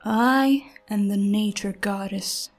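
A woman playing a serene, kindly nature goddess in a fantasy audio drama, gracious and unhurried. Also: mid-range voice, faint music behind.

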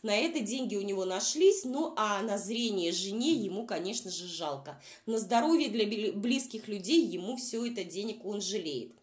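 Female vlog commentator, mid-pitched but very animated, under the weather, mocking and exasperated, informal. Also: normal speed, few pauses. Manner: sarcastic, exasperated. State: unwell, says voice is nearly gone.

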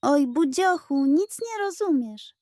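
Cheerful young goat girl, voiced by a woman: chirpy, teasing, high-pitched, unhurried. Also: expressive, mellow, dry recording, clean studio sound. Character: cheerful, know-it-all young female goat.

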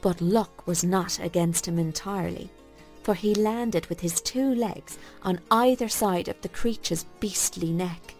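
A woman reads a bedtime tale for children. She is folksy and expressive, taking her time over each phrase. Faint music plays behind her. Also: lowish voice, unhurried. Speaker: storyteller. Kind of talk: scripted bedtime story narration for children.

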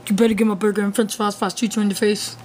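A woman's voice: mid-range voice, little pitch movement, racing, darkish tone. A young woman chatting casually in a bossy, teasing, slangy way while making prank calls. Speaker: prank caller. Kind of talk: casual chat. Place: room at home. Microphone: handheld camera or phone. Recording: noisy handheld amateur recording with clicks.

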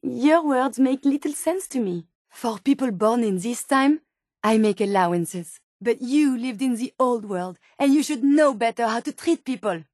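A woman's voice: fairly high pitch, lively intonation, a little slow, a little mellow, no echo, clean studio sound. A woman with a French accent voicing a polite, earnest female robot scientist in a video game, speaking formally and precisely. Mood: hurt, reproachful. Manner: formal, precise, courteous phrasing without contractions. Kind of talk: video game character dialogue.

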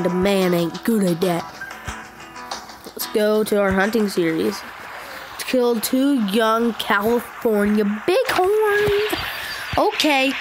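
Stuffed-up woman doing slow game commentary. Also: medium pitch, rough recording. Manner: hesitant, chatty, frequent ums and self-deprecating groans. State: stuffed-up nose. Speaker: gaming channel host. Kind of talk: casual let's play commentary. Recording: loud game music behind.

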